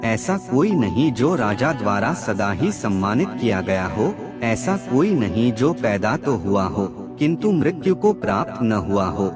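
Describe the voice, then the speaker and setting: Expressive low-voiced male narrator over music. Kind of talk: scripted narration of moral aphorisms. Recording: music bed under the voice. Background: background music.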